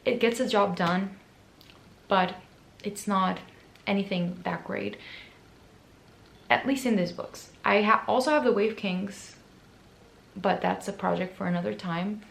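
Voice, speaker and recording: A woman with a hoarse, raspy voice chatting casually about books to camera, chatty and self-deprecating. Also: mid-range voice, normal speed, pauses often, echoey room. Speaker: book vlogger. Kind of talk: casual book chat to camera.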